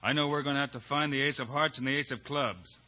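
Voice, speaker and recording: Man as a crisp, confident cowboy marshal. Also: medium pitch, steady tone, fast, sharp tone, lightly acted. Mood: confident, determined. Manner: cool, clipped, assured Western talk. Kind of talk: old-time radio western acting. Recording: muffled, hissy old radio recording with clicks.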